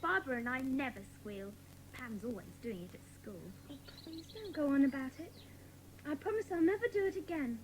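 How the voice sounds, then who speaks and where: A woman playing an eager, soft-hearted young schoolgirl in a dramatised children's audiobook, with a girlish, expressive delivery. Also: sits high, a little fast, low-quality recording. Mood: defensive, upset. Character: chatty, excitable, soft-hearted young schoolgirl of the Secret Seven.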